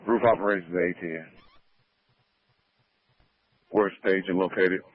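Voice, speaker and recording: A man's voice: sits low, fairly even intonation, unhurried, dry recording. A man talking as a firefighter over a two-way radio at a fire scene. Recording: dull lo-fi scanner radio audio.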